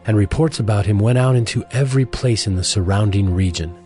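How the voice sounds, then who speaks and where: A man narrating scripture from a script in a measured, formal manner. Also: lowish voice, mellow, soft background music.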